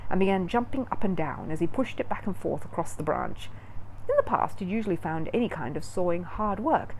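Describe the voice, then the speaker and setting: A woman storyteller narrating a children's book in an expressive read-aloud style. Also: mid-range voice, slight background noise, low-quality recording.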